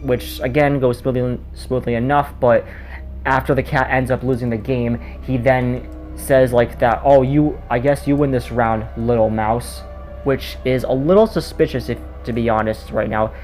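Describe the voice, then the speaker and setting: A man's voice: mid-range voice, steady tone, normal speed, darkish tone, faint music behind. A man giving a casual spoken video review in a rambling way, often stumbling over his words. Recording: noticeable background noise.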